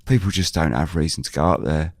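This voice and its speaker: A man playing a genial, cautious older countryman in an audio drama, with a folksy, unhurried, chatty manner. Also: a bass voice, no echo, studio quality. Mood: easygoing. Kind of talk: audio drama character dialogue.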